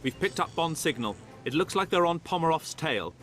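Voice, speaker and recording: Brisk intelligence operator, fairly high-pitched man. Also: very animated, music in the background. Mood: focused. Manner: brisk, procedural. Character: brisk, focused male intelligence operator reporting surveillance. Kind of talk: cinematic game radio report.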